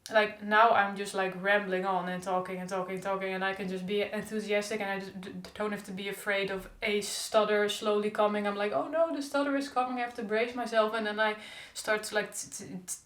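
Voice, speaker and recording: A woman's voice: medium pitch, fairly even intonation, fairly quick, few pauses, fairly bright tone. A young woman who stutters openly with repeated sounds, talking in a casual diary-style vlog. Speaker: stuttering vlogger. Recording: echoey room.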